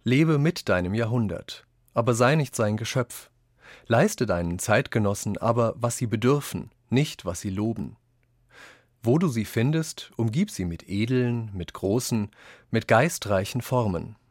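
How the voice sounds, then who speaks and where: A man reading quoted texts for a scripted radio essay, with deliberate, declamatory phrasing. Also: on the low side, animated, a little mellow, no room sound, studio quality. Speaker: reader of quotations in a radio essay.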